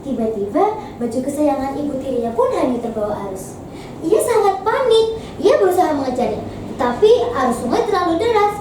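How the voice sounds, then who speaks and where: A young woman narrates a folktale with great expression. Her voice is high and very animated. It is recorded from a distance in a very echoey, noisy space. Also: fast talker. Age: teens. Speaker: student storyteller in a competition.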